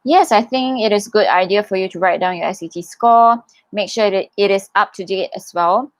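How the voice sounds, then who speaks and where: A woman's voice: medium pitch, a little fast, no echo. A young woman hosting a casual livestream Q&A. She reads viewer comments aloud and answers them in a chatty, encouraging way, with many fillers and restarts. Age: young adult. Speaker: livestream host answering scholarship questions.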